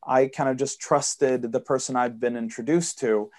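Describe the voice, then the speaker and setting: A man's voice: medium pitch, fairly even intonation, moderate pace, darkish tone. Swedish-American man explaining investing. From Sweden. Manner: fluent, explanatory, with fillers. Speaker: guest investor. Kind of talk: podcast interview.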